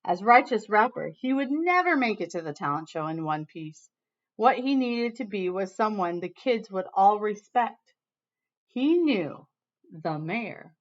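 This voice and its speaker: A woman teacher reading a storybook aloud to students in an animated, emphatic way, with casual asides. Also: medium pitch, measured pace, dull audio.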